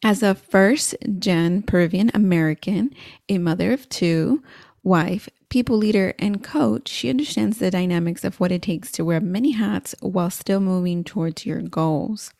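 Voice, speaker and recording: A woman's voice: medium pitch, normal speed, vocal fry, a little mellow, no echo, clean studio sound. A woman hosting a podcast, introducing her guest and asking friendly, upbeat questions. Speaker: podcast host. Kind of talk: casual podcast interviewing.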